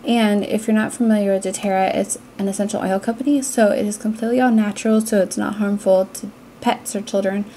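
A woman's voice: medium pitch, steady tone, brisk, mellow, slight background noise. A young adult woman with an American accent, narrating a cleaning vlog in a casual, conversational, explanatory way. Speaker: cleaning vlogger.

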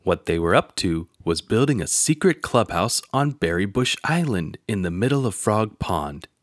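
A man narrating a children's picture book aloud in an expressive storytelling manner. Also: on the low side, moderate pace, no echo, clean studio sound.

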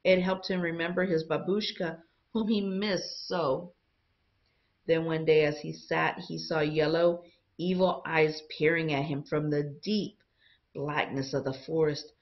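Slow-paced woman reading aloud, muffled recording. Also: low voice, level tone. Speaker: teacher reading a picture book aloud. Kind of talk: read-aloud storytelling for young students.

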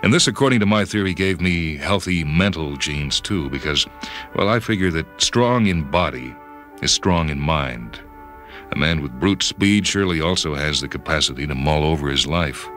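A man reading a first-person story dramatically, in a brooding, measured manner. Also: extremely low pitch, expressive, a bit bright. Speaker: story reader. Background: background music.

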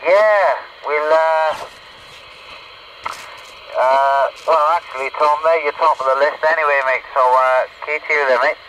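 A man's voice: fairly high pitch, a little slow. A man running a CB radio net in casual chat, heard as a radio transmission. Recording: noisy CB radio in open air.

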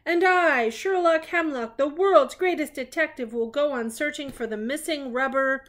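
A woman's voice: high voice, normal speed, fairly bright tone, voice pushed up. A woman voicing a pompous, scatterbrained male detective who makes grand proclamations in a children's storybook. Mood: pompous pride. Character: pompous, scatterbrained male detective puppet character, proudly self-important. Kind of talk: children's storybook read-aloud.

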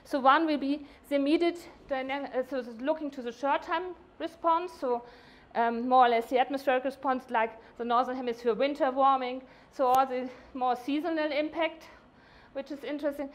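A woman with a high voice presents research to a workshop. She is middle-aged and speaks haltingly, often stopping to rephrase as she explains technical material. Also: fairly even intonation. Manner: hesitant, halting, self-correcting delivery. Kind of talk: conference presentation. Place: indoor workshop meeting room.

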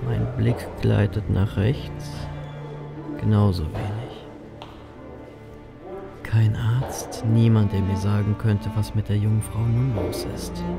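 Man playing a deep, brooding grim reaper narrator. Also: flat delivery, slow pace, creaky, pauses often, loud music behind the voice. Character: grim male ferryman reaper, world-weary, streetwise. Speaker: narrator and lead of an audio drama. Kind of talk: first-person horror audio drama narration.

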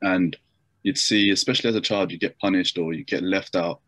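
Young man, low voice, hesitant. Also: steady tone, a little fast, fairly bright tone, dry recording. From Japan. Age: young adult. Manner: hesitant, many fillers and restarts. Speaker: interview guest. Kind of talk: casual video-call interview. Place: bedroom at home. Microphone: laptop webcam mic on video call.

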